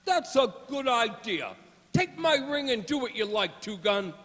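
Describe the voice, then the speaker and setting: Squeaky high mob boss, voice all over the place, radio play, echoey hall. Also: male voice, sing-song, a little slow, fairly bright tone, exaggerated voice. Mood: breezy, agreeable. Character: indulgent big-shot gangster boss, comic radio play. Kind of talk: old-time gangster radio play acting. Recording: echoey hall with background noise.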